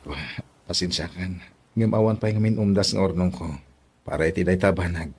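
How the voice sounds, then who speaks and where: A man's voice: sits low, fairly quick, husky. A man playing a sweet-talking, proud young boyfriend in a Filipino radio drama, crooning and a little hesitant. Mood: sheepish, proud.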